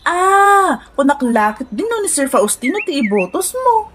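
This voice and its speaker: A woman playing an excitable, chatty village neighbour in a comedy radio drama. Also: high-pitched, moderate pace, slight background noise. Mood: surprised. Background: background music.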